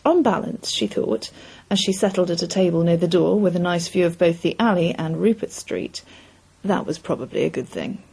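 A woman reading literary fiction aloud in a measured storytelling manner. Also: lowish voice, creaky, warm tone, slightly rough. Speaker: story reader. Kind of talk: scripted literary fiction narration.

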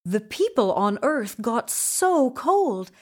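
A woman narrating a children's story in an expressive, deliberate way with dramatic emphasis. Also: a little high.

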